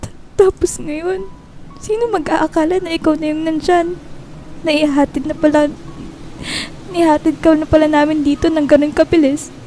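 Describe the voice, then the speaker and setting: Actress as a high-voiced grief-stricken young woman. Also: steady tone, dark tone, close to an everyday voice. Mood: grieving, aching. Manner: pleading, tearful, halting. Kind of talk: radio drama acting. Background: music.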